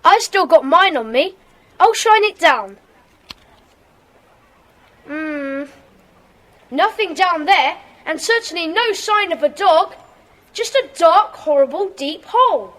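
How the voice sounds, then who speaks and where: A woman's voice: very high voice, lively intonation, slow, pauses often, fairly bright tone, full character voice. A woman playing a sensible, sceptical schoolboy in a detective gang, reasoning matter-of-factly in a children's audio drama. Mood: sceptical, musing.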